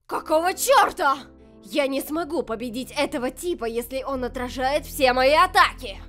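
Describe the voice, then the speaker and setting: A woman's voice: sits high, animated, normal speed, sharp tone, soft background music. A woman playing a fierce, desperate monster warrior queen with an intense, menacing manner in a comic dub. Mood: defiant, angry.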